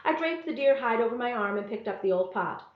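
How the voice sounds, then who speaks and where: A woman reading a first-person story aloud as narrator, in a measured, expressive storybook manner. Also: on the high side. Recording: roomy, slightly dull sound.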